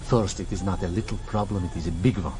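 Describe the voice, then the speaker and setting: An actor in a vintage radio drama playing a ruthless, commanding Soviet colonel, blunt and imperious. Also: male voice, sits low, fairly even intonation, quick pace, close to an everyday voice. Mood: commanding, impatient. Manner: blunt, imperious, clipped orders.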